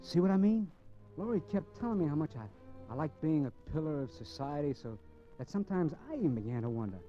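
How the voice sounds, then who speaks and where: A man's voice: on the high side, lively intonation, fast talker, dark tone, natural voice. An actor playing a cocky, restless drifter crook in a 1950s radio crime drama, with slangy, wisecracking tough-guy talk. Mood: reflective narration. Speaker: radio drama actor, lead and first-person narrator. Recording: muffled vintage 1950s radio recording, faint music.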